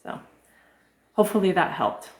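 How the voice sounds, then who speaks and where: This is a soft-voiced woman coach who talks gently and casually, with frequent "um"s and the odd swear word. Her voice sits a little low for a woman. She is recorded with a noticeable roomy echo. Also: sing-song, moderate pace. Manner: gentle, informal, many fillers, occasional swearing. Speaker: life coach. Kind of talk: live-streamed talk answering chat questions.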